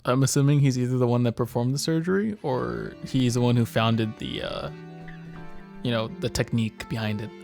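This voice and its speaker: A man's voice: medium pitch, normal speed, creaky, darkish tone, faint music behind. A man chatting and joking as he reads a visual novel aloud, often breaking off to restart. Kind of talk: casual gaming chat and read-aloud.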